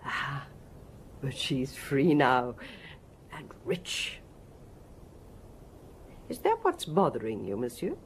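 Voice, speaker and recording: Worldly fifty-something club madame, deep voice, expressive, knowing, radio play with faint room noise. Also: female voice, slow, pauses often, exaggerated voice. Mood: wry, curious. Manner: knowing, inquisitive. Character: shrewd, worldly fifty-year-old Parisian nightclub madame. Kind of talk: radio drama acting.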